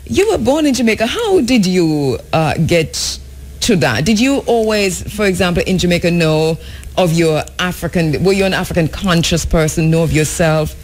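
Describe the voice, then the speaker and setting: A woman's voice: fairly low pitch, expressive, moderate pace, a little mellow. A woman hosting a talk radio show from the studio, speaking earnestly with frequent fillers and restarts. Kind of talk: talk radio commentary and interview.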